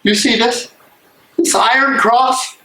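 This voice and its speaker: An actor playing an anguished, disillusioned German soldier and prison guard, emotional and sarcastic, in an echoey play reading. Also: male voice, very high-pitched, fairly even intonation, takes it slowly, sharp tone, heavily acted. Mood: bitter, angry. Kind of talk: dramatic reading of a stage play. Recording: echoey room, live reading.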